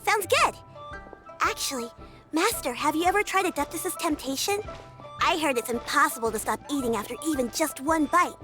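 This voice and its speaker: A high, bright girlish voice asks questions casually: a young game disciple, curious and chatty. Game music sits clearly behind her. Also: expressive, moderate pace. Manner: casual, inquisitive.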